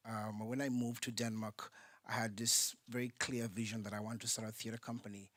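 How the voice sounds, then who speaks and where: Man, theatre founder, panel speaker; animated anecdotal delivery, dry conference mic. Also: lowish voice, fairly quick, no echo. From Kenya. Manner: lively storytelling with frequent ums. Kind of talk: panel talk, personal story. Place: conference centre.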